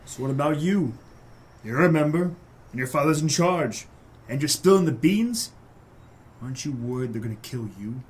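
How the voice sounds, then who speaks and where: An actor playing a gruff, cool-headed private eye with a terse, commanding manner in a noir drama. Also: male voice, fairly high pitch, expressive, moderate pace, pauses often, natural voice. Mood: grim, probing. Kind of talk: hardboiled noir audio drama acting.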